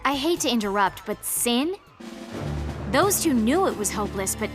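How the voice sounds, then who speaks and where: A brash young woman, played by an actress, with a fairly high voice in an audio drama. She is blunt, sarcastic and easily exasperated, with some music underneath. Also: expressive, normal speed, lightly acted. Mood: puzzled. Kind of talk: audio drama dialogue and first-person narration. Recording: music behind the voice.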